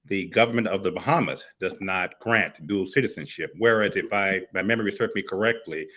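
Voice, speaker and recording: A middle-aged man from Miami co-hosting a casual morning talk show, speaking haltingly with many fillers and restarts. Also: deep voice, sharp tone. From United States, Miami. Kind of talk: casual panel discussion.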